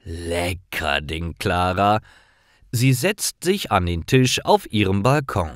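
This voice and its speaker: A man narrating scripted stories for language learners in deliberate, clearly separated short sentences. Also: on the low side, big pitch swings, no echo, studio quality.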